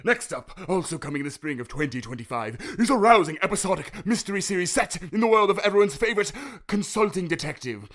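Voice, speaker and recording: A haughty corporate boss in a comedy audio sketch. His voice sits on the high side and rises and falls dramatically. He announces with pompous grandeur and is easily exasperated. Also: lively intonation, fast, a bit bright. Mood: announcing. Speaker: co-presenter.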